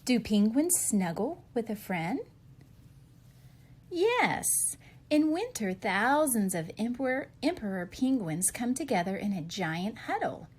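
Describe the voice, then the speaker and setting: A slightly husky-voiced woman reading a children's picture book aloud, posing questions and answering them expressively for young listeners. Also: fairly high pitch, very animated, takes it slowly, a little mellow.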